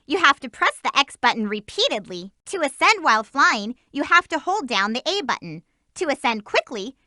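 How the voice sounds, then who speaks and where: A woman's voice: high voice, bright, forceful tone, dry recording, clean studio sound, exaggerated voice. A woman voicing a peppy, lovestruck young female hedgehog heroine for a video game, bubbly and bossy with chirpy exclamations. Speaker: voice actress. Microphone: studio mic.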